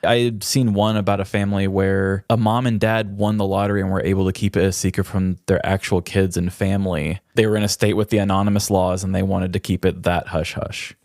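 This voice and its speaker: A man's voice: deep voice, little pitch movement, a little fast, hardly any pauses, darkish tone, dry recording, clean studio sound. A man co-hosting a podcast in a casual, chatty style. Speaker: podcast co-host. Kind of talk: casual chat with trivia.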